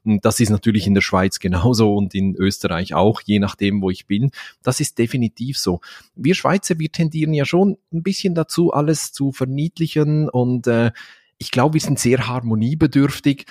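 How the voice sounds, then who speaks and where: A man's voice: medium pitch, moderate pace, darkish tone, no room sound, studio quality. A man from Valais in Switzerland with a Swiss Standard German accent, a trainer answering interview questions thoughtfully, with frequent fillers. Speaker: seminar trainer and speaker. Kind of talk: podcast interview.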